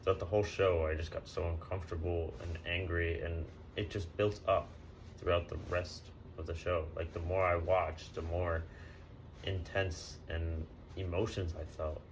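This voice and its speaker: Man as disgruntled male audience member, low voice, laid-back, slangy, halting grumble, mock newsreel interview, faint noise. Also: flat delivery, close to an everyday voice, rough recording.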